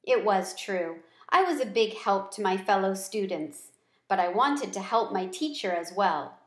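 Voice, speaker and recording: A middle-aged woman reading a children's book aloud with deliberate, expressive storytelling phrasing. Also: medium pitch. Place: small room at home. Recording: roomy home recording.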